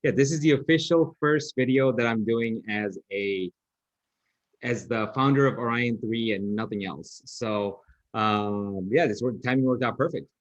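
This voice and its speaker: A man's voice: medium pitch, a little slow, dry recording, muffled recording. A man hosting a podcast, interviewing a guest in a friendly, casual way with plenty of fillers. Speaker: podcast host.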